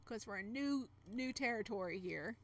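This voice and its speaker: A woman streamer playing a visual novel, mixing read-aloud game text with casual, animated, giggly commentary. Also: on the high side, moderate pace, faint music behind.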